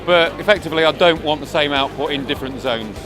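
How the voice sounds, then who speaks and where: A man's voice: a little high, fast. A middle-aged man presenting and asking questions as the host of a technical interview. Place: busy trade show floor. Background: music.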